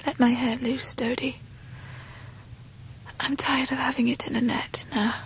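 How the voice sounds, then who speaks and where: A frail, childlike young wife in a radio drama. Her highish voice is a weary, tender whisper breaking into creak, over faint background noise. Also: little pitch movement, a little slow, rough recording. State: weak and tired. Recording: clear background ambient noise.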